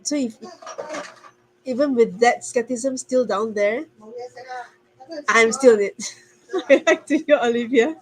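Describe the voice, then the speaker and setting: A woman's voice: fairly high pitch, takes it slowly, long pauses, poor audio. A woman from Singapore, a stay-at-home mother, earnestly telling her story on a video call, often restarting and correcting herself. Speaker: guest speaker. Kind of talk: casual testimonial on a video call.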